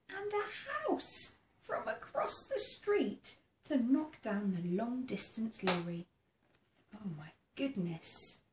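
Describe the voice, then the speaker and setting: A woman reading a children's picture book aloud in a theatrical, expressive way, engaging young listeners. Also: fairly high pitch. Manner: asks the listening child questions. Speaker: storybook reader. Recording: roomy sound with faint background noise.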